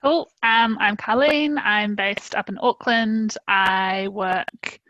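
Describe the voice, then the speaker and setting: A woman's voice: medium pitch, fairly even intonation, slow pace, a bit bright, no echo, highs cut off. A woman giving a plain, matter-of-fact introduction of herself on a video call. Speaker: dance and circus teacher.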